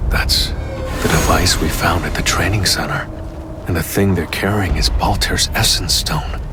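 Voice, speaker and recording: Very deep, brooding young hunter. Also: male voice. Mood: guarded, serious. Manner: measured, low-key, serious. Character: calm, resolute young male hunter, brooding protector of his family. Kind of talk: dramatic game voice acting, inner monologue and dialogue. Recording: loud background music under the voice.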